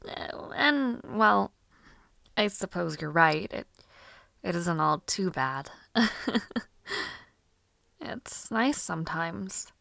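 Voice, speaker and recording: A woman's voice: on the low side, expressive, extremely slow, gravelly, long pauses, no room sound, exaggerated voice. A woman playing a cheerful, headstrong young noble lady mage in an audio roleplay drama, with genteel, old-fashioned phrasing. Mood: chatty, mildly reluctant. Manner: genteel, old-fashioned, chatty phrasing with soft laughs and sighs.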